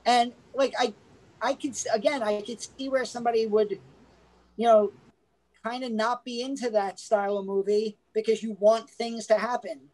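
A man's voice: high-pitched, fairly even intonation, normal speed, a little mellow, rough recording. A man co-hosting a casual movie review podcast, talking in a rambling way with lots of restarts. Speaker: podcast co-host.

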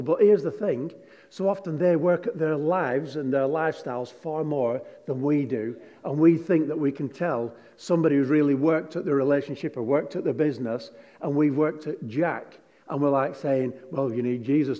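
A man's voice: fairly high pitch, normal speed, warm tone, lo-fi sound. A man preaching a sermon. He tells chatty, joking stories and reads scripture with emphasis. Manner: chatty, jokey storytelling with emphatic scripture reading. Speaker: pastor. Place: large hall with a congregation. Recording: long hall reverb.

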